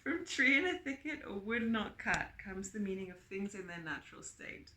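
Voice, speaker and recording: A woman reading a book aloud in an expressive, emphatic way, presenting it with chatty asides. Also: medium pitch, normal speed, a little hiss or hum. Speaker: reader and presenter of book extracts. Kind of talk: read-aloud with chatty asides and character quotes. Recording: roomy, echoing sound.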